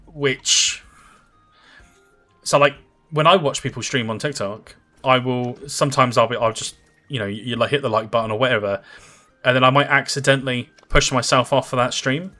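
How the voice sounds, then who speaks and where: A man's voice: medium pitch, fairly even intonation, moderate pace, pushed and bright, soft background music. A man in his thirties chatting casually with viewers while he livestreams a game, rambling with lots of fillers and answering comments. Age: 30s.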